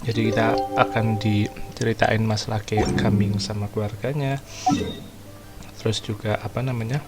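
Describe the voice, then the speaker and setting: A man's voice: fairly low pitch, flat delivery, a little fast, over loud music. A man with an Indonesian accent giving casual, slangy game commentary, often searching for words aloud. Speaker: gaming streamer.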